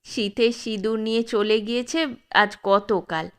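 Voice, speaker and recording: A woman narrating a classic story in a measured, expressive reading. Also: on the high side, clean tone.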